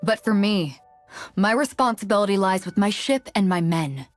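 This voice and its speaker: A woman's voice: mid-range voice, animated, normal speed, no room sound, soft background music, natural voice. A woman playing a bold, big-hearted ship captain for a video game, with a confident, frank and laid-back manner. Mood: frank, easygoing. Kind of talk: video game character voice lines.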